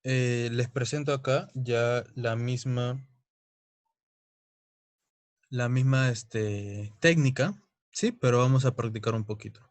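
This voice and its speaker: A man's voice: medium pitch, slow pace, pauses often, no echo. A young man teaching a chemistry lesson, explaining step by step and often checking in with his students. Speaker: chemistry tutor. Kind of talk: recorded online lesson.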